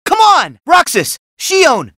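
A brave, cheerful teenage boy hero from a video game. The voice sits very high and swings widely in pitch. Lines come as short, energetic, punchy exclamations in a bright, forceful tone. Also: takes it slowly, no room sound, clean studio sound, heavily acted. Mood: battle-ready. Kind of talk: video game voice acting, battle barks and exclamations.

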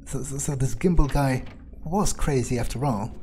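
Bright-toned man rambling in character. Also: mid-range voice, moderate pace, slight background noise. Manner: hesitant, rambling, casually profane. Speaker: let's player. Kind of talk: in-character improvised game roleplay, thinking aloud.

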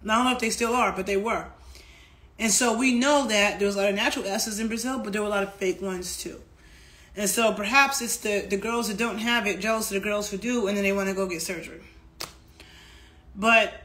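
A woman's voice: medium pitch, fairly quick, fairly bright tone. A woman, talking candidly to a phone camera at home in a chatty vlog. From New York. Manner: candid, chatty, frequent fillers and asides. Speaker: fitness vlogger. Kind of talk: casual talk to camera. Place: indoor room at home.

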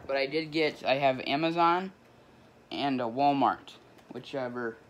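A man's voice: medium pitch, unhurried. Teen guy prank calling, polite and kinda hesitant, bit of background noise. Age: teenager. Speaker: prank caller. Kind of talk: casual phone prank conversation. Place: small basement room with couch, indoors. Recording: faint background noise, room camera.

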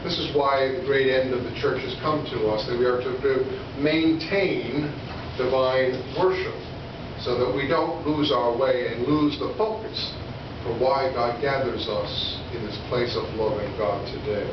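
A man in his sixties delivers a sermon from a lectern, phrasing it deliberately with pauses and rhetorical questions. His medium-pitched voice reaches a distant mic through heavy room echo. The recording is dull, with clear background noise. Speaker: preacher. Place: church sanctuary or hall, indoors at a lectern.

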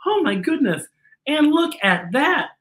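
Man, middle-aged, children's read-aloud, theatrical, slow drawn-out phrasing, echoey room. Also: extremely high pitch, sing-song, a bit bright. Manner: theatrical, exaggerated, drawn-out delivery. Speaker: children's storytime host. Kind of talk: animated picture-book read-aloud for children.

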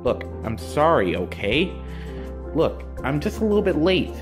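A woman's voice: low-pitched, sing-song, a little slow. A woman playing an irritated, defensive young character in a cartoon comic dub. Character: irritated, defensive youth running late. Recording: music behind.

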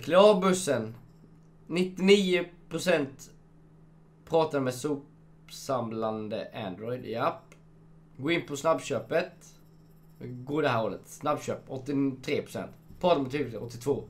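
A young man hosting a let's play, chatting casually, gushing and reading the game's menus aloud at his home setup. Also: medium pitch. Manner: chatty, reads game menus aloud, gushes. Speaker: gamer, channel host. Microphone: desk microphone.

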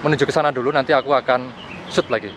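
A man's voice: medium pitch, steady tone, very fast, muffled recording. A young man vlogger casually explaining a field test as he walks, repeating himself, recorded outdoors at arm's length. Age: young adult. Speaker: vlogger reviewing gadgets. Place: outdoors in open plazas, park and street. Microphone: camera at arm's length. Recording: noisy outdoor street and park ambience.

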